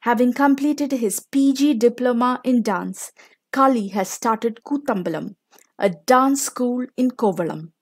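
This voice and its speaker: Slow, high-voiced Indian woman storyteller. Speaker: storyteller reading a children's picture book aloud. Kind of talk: scripted storytelling narration.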